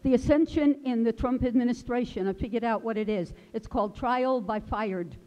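An older woman, an audience member, making a deliberate request into a handheld mic at a town meeting, stressing her words slowly. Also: on the high side. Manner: deliberate, pleading, slow emphasis. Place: large wood-paneled hall, indoors. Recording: dull sound in an echoey hall.

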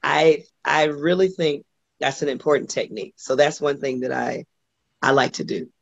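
A middle-aged woman from Los Angeles with a husky voice, talking as a guest singer on a video call. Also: deep voice, steady tone, normal speed, no echo. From Los Angeles, USA. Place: home room indoors. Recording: dull lo-fi video call audio.

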